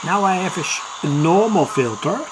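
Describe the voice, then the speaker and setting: A hobbyist giving an unscripted demo at home, explaining piece by piece in a halting way with frequent pauses. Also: medium pitch, lively intonation. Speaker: hobbyist demonstrator. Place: home, indoors near a kitchen. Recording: noisy room with radio static. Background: radio noise.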